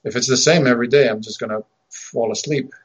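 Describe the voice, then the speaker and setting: A man's voice: medium pitch, breathy edge. A man from Argentina chatting casually on a radio show, often repeating words as he talks. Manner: halting, repeats words, chuckles. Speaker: radio co-host and maker. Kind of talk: casual chat. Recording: dull lo-fi sound.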